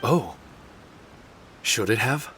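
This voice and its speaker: Wistful gentle spirit, video game, unhurried, humble and courteous, faint ambience behind. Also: male voice, mid-range voice, animated, slight background noise. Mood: humble, grateful. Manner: polite, hesitant, wistful. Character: gentle, earnest male paper spirit who lost his memories.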